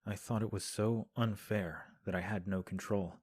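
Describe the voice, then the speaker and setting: An actor playing a composed young male prince who gives counsel in a measured, formal way, recorded at home. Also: fairly low pitch, fairly even intonation, darkish tone, breathy edge, dry recording. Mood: calm. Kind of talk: audio drama acting.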